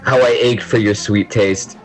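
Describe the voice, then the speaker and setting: A man's voice: fairly low pitch, steady tone, a little slow, soft background music, natural voice. A man playing a bombastic, melodramatic student gamer with grandiloquent speech, in an amateur audio drama. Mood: mock-poetic rapture. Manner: theatrical, grandiloquent ranting.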